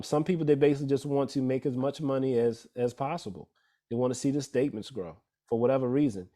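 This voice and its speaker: A man's voice: medium pitch, dark tone. A man, a financial advisor, giving a casual slide talk to an audience, often checking in with "all right?" and "right?". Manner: conversational, many fillers and "all right?" checks. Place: church event room.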